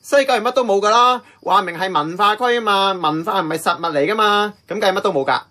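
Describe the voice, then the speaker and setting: Brash, cocky Hong Kong nephew. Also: male voice, sits high, fast talker, bright, forceful tone. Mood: teasing. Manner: cocky, exclamatory. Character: brash, teasing, defensive nephew managing the family diner. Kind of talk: radio drama acting. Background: music.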